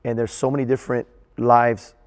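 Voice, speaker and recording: A man speaking as a theater director in an interview, earnest and halting, often repeating words as he thinks. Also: mid-range voice, flat delivery, big-room sound. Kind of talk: interview reflection.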